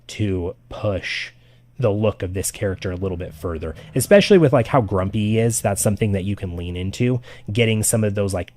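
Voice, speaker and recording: A man giving casual art critique on a live stream, talking loosely with lots of fillers, his voice getting raspy. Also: deep voice, darkish tone. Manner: loose and chatty, with many "like" fillers. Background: faint background music.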